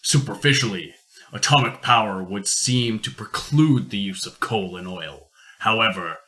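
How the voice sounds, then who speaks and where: A man playing a rich, sardonic industrialist in a radio play, measured, formal and a little pompous. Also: fairly low pitch, lively intonation, sharp tone. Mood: assured, sardonic. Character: rich, worldly, sardonic industrialist, booming and indulgent. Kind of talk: amateur radio play acting.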